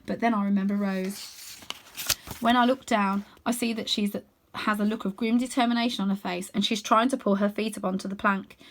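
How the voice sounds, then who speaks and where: A woman reading a children's book aloud as an expressive narrator. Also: mid-range voice. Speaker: storybook reader.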